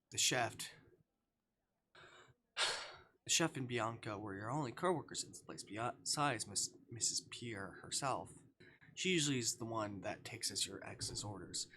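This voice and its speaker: A male streamer reads a visual novel aloud in a slightly low, bright voice. His delivery is expressive, with the odd stumble over a word. Faint noise and occasional clicks sit behind him. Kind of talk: reading visual novel narration and dialogue aloud on a livestream.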